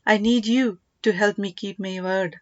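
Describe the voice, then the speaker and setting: A woman voicing a gentle, devout queen mother in a storybook, measured and solemn. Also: medium pitch, steady tone, muffled recording, lightly acted. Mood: solemn pleading.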